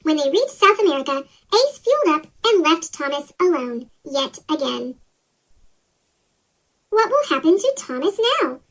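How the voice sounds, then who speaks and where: A woman reading a children's story aloud as scripted narration, her voice sped up with a chipmunk pitch-shift effect. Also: very animated, measured pace, a bit bright.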